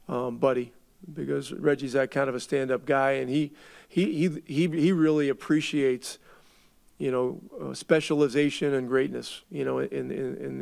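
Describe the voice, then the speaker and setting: A middle-aged man, a head coach, answering reporters at a postgame press conference in a measured way, often restarting his words as he thinks. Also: mid-range voice, creaky, a little mellow. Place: arena press room. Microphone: table mic.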